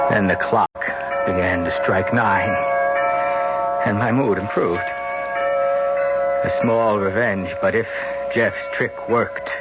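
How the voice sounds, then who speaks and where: A bitter, brooding young son. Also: male voice, sits low. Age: young adult. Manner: tense, resentful inner narration. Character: bitter indebted young son plotting murder. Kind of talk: 1970s radio drama acting. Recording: lo-fi radio broadcast with music.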